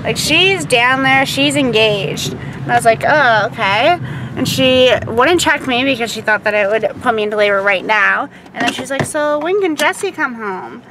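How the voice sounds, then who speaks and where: A young, heavily pregnant woman talks into a phone held at arm's length inside her car. She rushes through her news in an excited, chatty way. Her voice sits somewhat high. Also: noisy background. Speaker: vlogger. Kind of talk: casual vlog talk to camera. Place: inside a parked or moving car.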